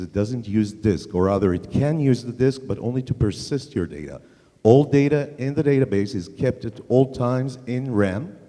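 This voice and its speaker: A man's voice: fairly low pitch, dark tone. A middle-aged man with an Israeli accent giving a casual conference tech talk on a handheld mic, with lots of fillers. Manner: casual, self-deprecating, many fillers. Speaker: conference speaker. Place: large conference hall. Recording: long hall reverb.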